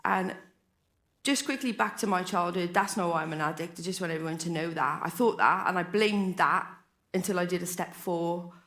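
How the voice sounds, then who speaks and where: A woman's voice: fairly low pitch, moderate pace, fairly bright tone. A Welsh woman in her forties giving a candid, rambling personal share as a speaker at a recovery convention, often restarting her sentences. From Wales. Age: 40s. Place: convention hall stage.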